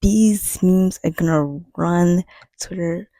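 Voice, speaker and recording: A woman's voice: lowish voice, takes it slowly, warm tone, dry recording, clean studio sound. A young woman host telling a story in a chatty, slangy commentary style. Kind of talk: casual commentary.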